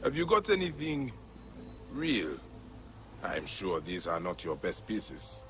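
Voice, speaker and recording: An actor playing a stern, righteous warrior hero in a video game, intense and formal in phrasing. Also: male voice, sits low, wildly swooping pitch, takes it slowly, noisy background, full character voice. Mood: wary. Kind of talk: video game character acting.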